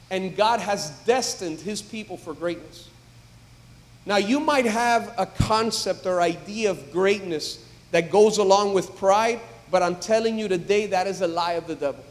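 A man, a pastor, preaching a sermon in a church, building from calm talk to emphatic, loud exhortation. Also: sits high. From United States. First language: English. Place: church sanctuary. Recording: long reverb of a large hall.

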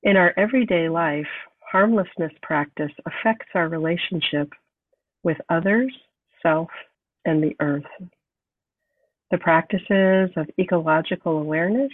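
A woman hosting a podcast, calm and unhurried as she guides a meditation, sounding nasal from a cold. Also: on the low side, no echo. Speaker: podcast host. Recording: thin phone-like sound, distant from mic.

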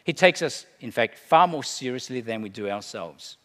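Animated pastor in a reverberant hall. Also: male voice, medium pitch, normal speed. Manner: emphatic storyteller, swinging from hushed to loud. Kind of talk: sermon.

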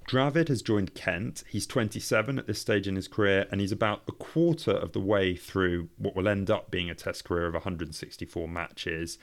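A man's voice: sits low, moderate pace, few pauses. A man co-hosting a sports podcast, talking conversationally and telling stories from prepared notes. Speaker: podcast co-host. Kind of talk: conversational cricket storytelling from notes.

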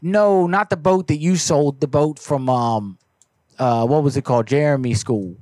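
A man making a comedic prank phone call, joking along in a deadpan, agreeable way. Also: a little high, normal speed, no room sound, clean studio sound. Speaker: prank caller.

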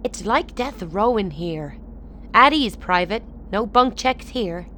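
A woman's voice: mid-range voice, animated, slow pace, bright, forceful tone, a little hiss or hum. A woman playing a powerful political leader in a radio play, wryly amused, probing and self-assured. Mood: wry, teasing.

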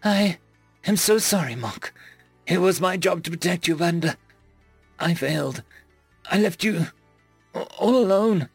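Man voicing a distraught, fiercely loyal furry alien, high-pitched. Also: expressive, normal speed, gravelly, fairly bright tone, husky, dry recording, soft background music, full character voice. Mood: tearful, guilty. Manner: pleading, indignant outbursts.

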